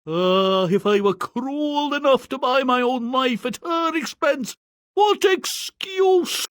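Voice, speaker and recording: High-pitched, cowardly merchant father. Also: animated, takes it slowly, fairly bright tone, clear, resonant tone, no room sound, clean studio sound, exaggerated voice. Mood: terrified, pleading. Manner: melodramatic, stammering in fear. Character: fretful, cowardly ruined merchant father, self-pitying. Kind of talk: theatrical video game cartoon acting.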